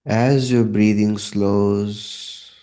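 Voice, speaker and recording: Male meditation guide, mellow tone, fairly low pitch, calm and measured, short phrases with long pauses.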